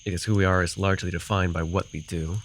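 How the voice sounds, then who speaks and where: A man's voice: deep voice, level tone, quick pace, fairly bright tone. A man acting a rambling, amused forest fae in a fantasy ASMR roleplay, telling his tale with hesitant, apologetic asides. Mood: musing, wistful. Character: rambling, amused male forest-dwelling fae storyteller with bird companion. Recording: noisy with cricket and insect ambience. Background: night forest ambience with crickets and insects.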